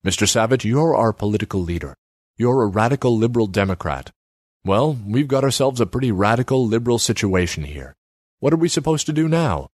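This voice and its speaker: Earnest older shopkeeper, male, played by a male narrator: plain-spoken, insistent, animated audiobook dialogue, recorded dry. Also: medium pitch, fairly quick, dry recording, voice pushed up, natural voice. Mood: earnest, pleading. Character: frank, earnest older male kiosk keeper.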